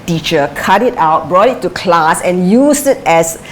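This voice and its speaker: A middle-aged woman answering interview questions thoughtfully, pausing with fillers and restarting her sentences. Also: on the low side, animated. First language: English is her first language; Chinese is her second. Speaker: interviewee, former sprinter and coach. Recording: light background noise.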